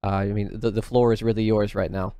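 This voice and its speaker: A man's voice: lowish voice, little pitch movement, quick pace, mellow, clean tone, no room sound. A man hosting a casual interview, asking questions in a hesitant, polite way. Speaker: host.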